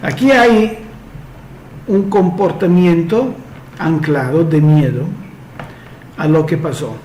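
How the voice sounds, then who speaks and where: A man's voice: a little high, takes it slowly, darkish tone. Man, advice host, explanatory, echoey room, faint background noise. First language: Italian. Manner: explanatory, uses homely comparisons. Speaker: host answering listener questions. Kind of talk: advice talk reading a letter and answering.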